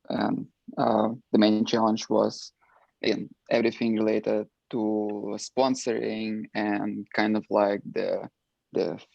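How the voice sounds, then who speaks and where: Guy on a panel, low voice, lots of ums, dry muffled call audio. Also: gravelly, a little mellow, no echo. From Ukraine. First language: Russian. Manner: hesitant, many filler words. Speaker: alumni panelist. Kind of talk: panel discussion answer.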